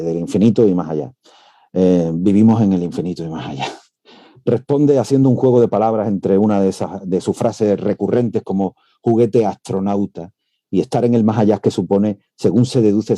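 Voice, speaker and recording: A male professor giving an academic lecture over a video call. He speaks in a measured way, with frequent "eh" hesitations. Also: lowish voice, mellow, no echo. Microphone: computer mic on a video call.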